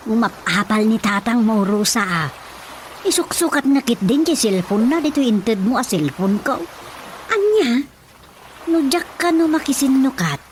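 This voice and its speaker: A woman's voice: fairly high pitch, big pitch swings, measured pace, noisy background. A woman playing a fretful, thrifty middle-aged mother in a radio drama, with an Ilocano accent and a plaintive, exclaiming delivery. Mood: explaining, pleading. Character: Rosa's mother, a fretful, thrifty rural wife.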